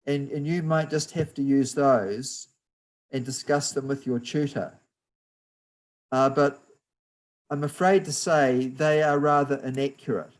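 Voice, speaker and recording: A man with a New Zealand accent giving a guest lecture on building structures, explaining slowly and patiently over a video call. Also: medium pitch, steady tone, low-quality recording. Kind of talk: university lecture, slow and deliberate.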